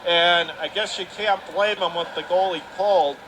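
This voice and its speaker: High-pitched male hockey play-by-play commentator, noisy arena. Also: steady tone, moderate pace. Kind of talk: live radio sports commentary. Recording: clear arena noise behind the voice.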